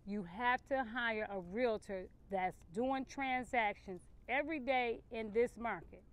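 A middle-aged woman with a Southern American accent, a real estate agent talking straight to camera outdoors at a building site in an upbeat, direct sales-pitch way. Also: a little slow, clear, resonant tone. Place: outdoors at a housing construction site. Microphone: camera facing her at close range.

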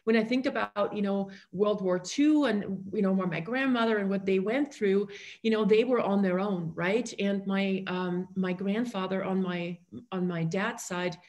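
A middle-aged woman from rural Austria, whose first language is German, speaks as a podcast guest. She tells her story conversationally at a medium pitch, over a video call. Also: steady tone, measured pace, darkish tone. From rural Austrian Alps. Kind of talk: personal storytelling in an interview. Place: indoor room on a video call.

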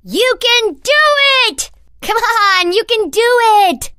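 Cartoon rainbow, piercing bright voice, dry close sound, mocking, cackling. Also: male voice, very high-pitched, expressive, slow pace, dry recording, heavily acted. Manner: bratty, insulting, cackling. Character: taunting cartoon rainbow, male, mocking and gleeful.

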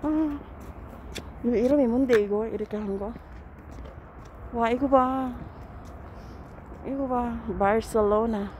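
Slow-talking Filipino woman on a noisy outdoor vlog. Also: on the high side, a little mellow, muffled recording. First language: Tagalog. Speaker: vlogger. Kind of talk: casual walking vlog chat. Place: outdoors at a riverside camping area. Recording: outdoor handheld recording with clear background noise.

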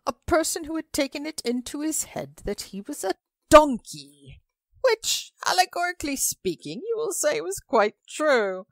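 An excitable, mischievous Frenchman in a storybook reading, acted by a woman. He is florid and theatrical, with pitch that leaps high and swoops about. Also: a little slow, no room sound, heavily acted. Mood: excited, blurting. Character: excitable tall Frenchman, mischievous dinner guest with flourishes.

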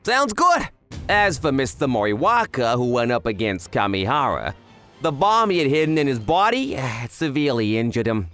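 A man plays a young cartoon gangster underling, chatty and easily frightened. His voice leaps about excitedly in exclamations, with music underneath. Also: mid-range voice, moderate pace. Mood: admiring, chatty. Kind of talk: animated manga dub dialogue.

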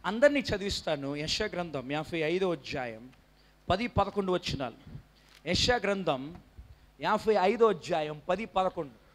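Man, 30s, pastor, very high voice, animated sermon, handheld mic on church stage. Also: normal speed, slight background noise. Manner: animated preaching, quizzes the congregation, rises to shouts. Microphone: handheld microphone.

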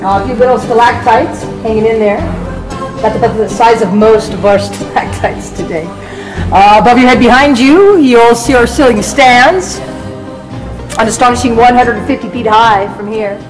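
A woman leads a cave tour, explaining the rock formations in a rehearsed, informative way. Her voice sits a little high and echoes off the walls of a large cave. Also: normal speed, over music. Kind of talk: guided tour talk.

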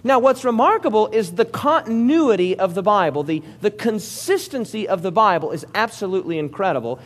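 A male pastor preaching to a congregation in a church hall. His voice sits high and swings widely in pitch. He keeps turning to the room with questions and jokes. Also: fairly quick. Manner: lively, interactive preaching with audience questions and jokes. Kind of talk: sermon.

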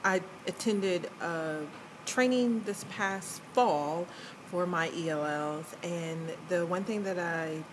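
Female teacher, lower voice, brisk candid testimony, noisy library room. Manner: quick, candid, self-correcting. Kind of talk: casual interview testimony. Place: school library.

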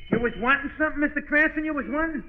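High-voiced comical cabbie. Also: male voice, racing. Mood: plaintive, droll. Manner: repeats his own phrases. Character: comical, eager working-class cab driver who repeats himself. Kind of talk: 1940s radio comic acting. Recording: dull vintage radio recording.

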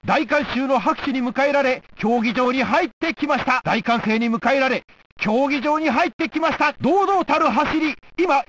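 A man playing an excitable old-time stadium announcer, giving formal, emphatic play-by-play for a sports video game. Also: extremely high pitch, a little hiss or hum. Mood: excited. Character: excitable male 1960s Olympic stadium announcer.

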